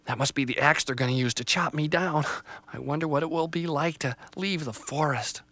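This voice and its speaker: A man's voice: a little high, normal speed, bright, forceful tone, no room sound, voice pushed up. A man voicing a timid young female sapling tree, anxious and hopeful, wondering aloud. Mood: nervous.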